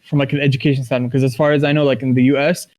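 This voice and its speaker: A man from Kuwait answers casually in an interview. His voice sits at a medium pitch, and he builds his thoughts haltingly, in short broken phrases. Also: level tone, a little mellow. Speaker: interview guest, esports professional.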